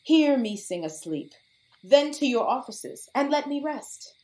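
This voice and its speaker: A woman's voice: high-pitched, lively intonation, a little slow, mellow. An actress playing a regal fairy queen who orders her attendants in theatrical Shakespearean verse. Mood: regal, bidding. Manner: theatrical, imperious. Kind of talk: classical Shakespearean verse acting.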